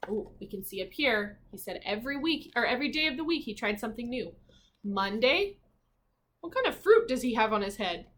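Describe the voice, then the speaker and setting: A woman teacher reading a children's storybook aloud expressively, pausing to comment and ask questions. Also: a little high, very animated, a bit bright.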